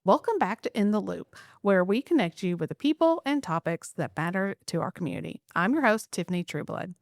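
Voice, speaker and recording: A woman's voice: mid-range voice, lively intonation, brisk. A woman hosting an interview show, reading a scripted intro and reacting to her guest. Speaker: host.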